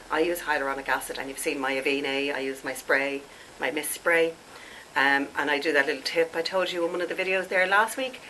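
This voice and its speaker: Woman, late forties, deep but sharp-edged tone, congested, chatty rambling vlogger. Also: a little hiss or hum, poor audio. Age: late 40s. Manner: chatty, rambling, laughs at herself. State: congested, stuffed up. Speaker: skincare vlogger. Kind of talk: casual chat to camera.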